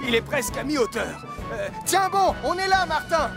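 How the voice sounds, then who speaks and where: A steady fire captain who keeps his head and gives clear, calm orders. The voice sits very high for a man, and bright cartoon music plays underneath. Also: moderate pace, heavily acted. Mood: urgent. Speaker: firefighter. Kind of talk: French cartoon dub.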